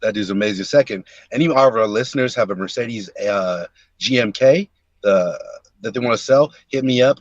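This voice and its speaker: A man's voice: mid-range voice, dry recording. A male caller with a laid-back, slangy manner, heard over a dull, lo-fi call line. The sound is dry and close, with no room around it. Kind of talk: casual phone call-in.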